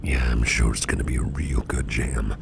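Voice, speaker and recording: A man playing an attentive, easygoing businessman boss in a soft, breathy, whispery ASMR roleplay, unhurried and soothing, murmuring close to the microphone. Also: steady tone, background noise, heavily acted. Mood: relaxed, musing. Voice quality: very low, gravelly, breathy near-whisper. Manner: unhurried, soothing, indulgent, musing aloud.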